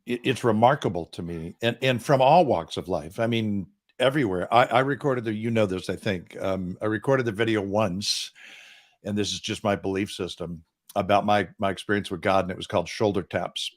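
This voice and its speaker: Male, 60s, lowish voice, earnest self-deprecating coach, close boom mic. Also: normal speed. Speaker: business coach guest. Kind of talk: casual interview chat. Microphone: boom microphone.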